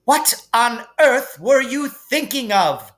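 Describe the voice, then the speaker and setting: A man's voice: extremely high pitch, big pitch swings, slow, fairly bright tone, voice pushed up, heavily acted. A man voicing a stern, coldly angry older woman professor in a storybook reading, clipped and scolding. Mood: cold fury.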